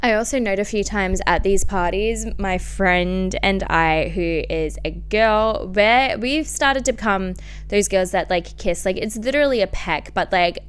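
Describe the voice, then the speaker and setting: Clear-voiced young woman, candid and chatty. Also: mid-range voice, measured pace. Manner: animated, slangy, self-mocking, acts out quoted remarks. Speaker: podcast host. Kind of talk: casual confessional storytelling.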